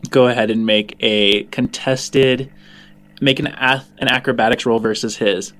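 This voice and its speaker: A man improvising narration as game master of a tabletop game, in a halting, informal, descriptive way. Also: mid-range voice, fairly even intonation, fairly bright tone, a little hiss or hum.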